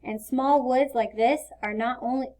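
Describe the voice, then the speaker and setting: A caring mother from a children's storybook, played by a woman reading aloud. She explains patiently, as if to her child, in a somewhat high female voice. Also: fairly even intonation, a little slow, a little mellow. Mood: serious.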